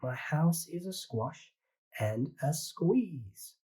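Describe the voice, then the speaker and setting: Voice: medium pitch, expressive, very slow, mellow, breathy edge, pitched above the performer's own voice, full character voice. A reader voicing a fretful little old woman who keeps begging for help with her crowded house, in a pleading, sing-song storybook manner.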